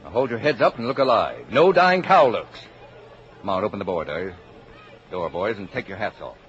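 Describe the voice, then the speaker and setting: Pompous, animated radio-comedy father. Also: fairly low pitch, moderate pace. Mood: brisk, commanding. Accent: American. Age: middle-aged. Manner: formal, clipped, commanding, theatrical. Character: pompous, brisk, eccentric father of a big family. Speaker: actor in a radio drama. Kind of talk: 1950s radio comedy acting. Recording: muffled, noisy old radio recording.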